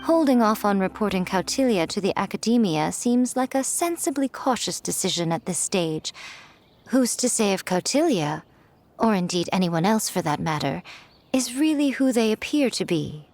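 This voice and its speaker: A woman's voice: medium pitch, animated, normal speed, a little mellow, natural voice. An actress playing a shrewd, haughty young woman in a video game, speaking formally and articulately with dry scorn. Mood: smug.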